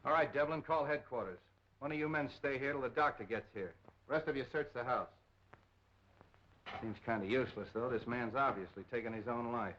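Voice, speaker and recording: An actor playing a tough, slangy, decisive police captain, brusque and commanding, in 1930s film style. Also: male voice, mid-range voice, fairly quick, natural voice. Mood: brisk, businesslike. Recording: noisy, dull 1930s film soundtrack.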